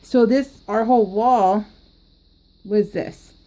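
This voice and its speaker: A woman's voice: mid-range voice, slow pace, dark tone, rough recording. A woman chatting casually in a crafting vlog, rambling and laughing often as she talks. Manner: giggly and rambling, with frequent laughs and restarts. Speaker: planner crafting vlogger.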